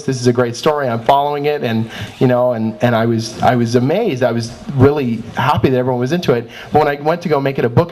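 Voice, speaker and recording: A man giving an informal talk as an author and comic artist, candid, animated and self-deprecating. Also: medium pitch. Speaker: author and comic artist presenting his work. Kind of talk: informal live talk with slides. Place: indoor cafe event space. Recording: roomy, distant mic, faint background noise.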